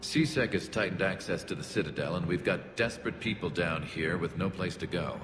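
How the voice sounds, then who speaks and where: A man playing a wary, weary alien refugee leader in a video game, speaking in a grave, measured and formal way. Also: low voice, expressive. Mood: wary, frustrated. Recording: music behind the voice.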